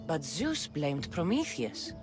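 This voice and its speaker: A woman's voice: deep voice, sing-song, takes it slowly, exaggerated voice. An actress playing a determined young female warrior who talks directly and dryly, over game music. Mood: questioning.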